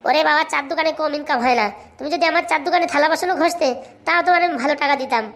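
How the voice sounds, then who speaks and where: A woman's voice: sits high, very fast, a bit bright. A woman voicing a chatty, helpful shopkeeper neighbour in a comic cartoon, coaxing. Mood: chatty, encouraging.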